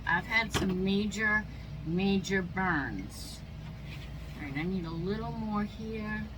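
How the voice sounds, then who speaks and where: A woman with a stuffy nose and a slightly squeaky voice, chatting casually as she narrates each step of a craft project. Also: slow, very noisy. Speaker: craft channel host. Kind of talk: casual how-to crafting chat.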